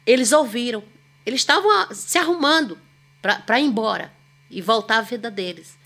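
A woman's voice: on the high side, lively intonation, normal speed, a bit bright. A woman, a singer and podcast guest telling long, rambling stories in a chatty, self-deprecating way. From Northeast Brazil. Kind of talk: casual storytelling chat.

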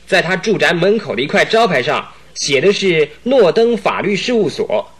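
A man's voice: sits high, very animated, normal speed, breathy edge, exaggerated voice. A man playing a chatty, gossiping driver in a Chinese radio drama, talking emphatically and sure of himself. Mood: gossipy, eager.

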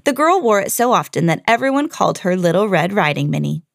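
A woman hosting a read-aloud podcast, narrating a scripted children's fairy tale in an expressive storytelling style. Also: medium pitch, quick pace, vocal fry, dry recording, clean studio sound.

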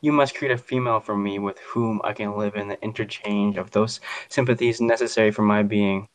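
A man's voice: lowish voice, steady tone, fairly quick, dry recording, natural voice. A man voicing a miserable, spiteful monster who speaks formally and with menace to his maker. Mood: aggrieved.

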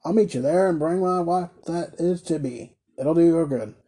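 Man reading as smug, husky older merchant. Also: on the high side, normal speed, warm tone. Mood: mock-polite, condescending. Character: smug, sneering, domineering older male toy merchant. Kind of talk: storybook character reading.

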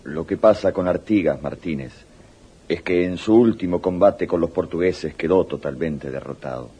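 An actor playing a gruff soldier in a radio drama. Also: male voice, low-pitched, moderate pace, over music, lightly acted. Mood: matter-of-fact.

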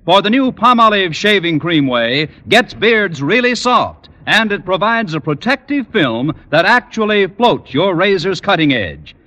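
High, bright-voiced male radio announcer. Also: very animated, unhurried, few pauses. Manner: polished, emphatic. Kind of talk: 1940s-50s radio announcing.

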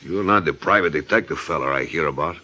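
A mobster, low sharp voice, mocking and fake friendly, old radio detective show. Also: male voice, quick pace, exaggerated voice. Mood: wary. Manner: mocking, falsely genial, broken grammar. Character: menacing, smooth male gangster with a gun. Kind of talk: 1940s radio detective drama acting.

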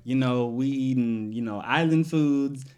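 A man's voice: mid-range voice, measured pace, darkish tone, clean tone. A man from the United States hosting a podcast in a casual, conversational style. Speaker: podcast host.

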